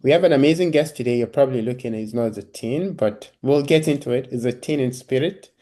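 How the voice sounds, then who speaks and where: A male podcast host with a dark, mellow voice at an ordinary pitch. He speaks casually, as in a relaxed interview conversation. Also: brisk.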